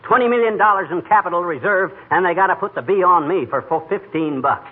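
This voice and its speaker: A man's voice: high-pitched, fast talker, lightly acted. A man with an American accent playing a grumbling, blustering, folksy middle-aged husband in an old radio comedy, with slangy exaggeration. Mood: indignant grumbling. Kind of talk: 1940s radio comedy acting. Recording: tinny, noisy old-time radio recording.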